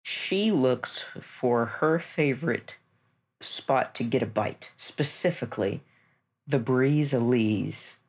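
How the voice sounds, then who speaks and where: A man playing a tabletop game, improvising a story in a deliberate, measured manner. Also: mid-range voice, slightly rough.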